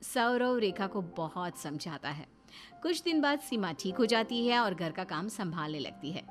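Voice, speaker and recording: A woman's voice: medium pitch, lively intonation, measured pace, soft background music. A woman narrator reading a scripted family story.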